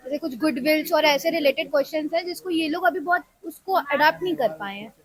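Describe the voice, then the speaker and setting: A woman's voice: high-pitched, fast. A young woman student explaining her exam observations at length and in a thoughtful way. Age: young adult.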